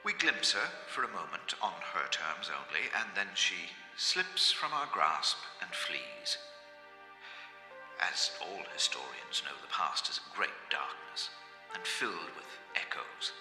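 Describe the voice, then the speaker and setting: An actor playing a formal, scholarly historian giving an oratorical lecture in an audio drama. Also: male voice, deep voice, very animated, unhurried, pushed and bright, faint music behind, exaggerated voice. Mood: solemn, scholarly. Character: measured, scholarly historian lecturing on a recovered archive. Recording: reverberant lecture hall.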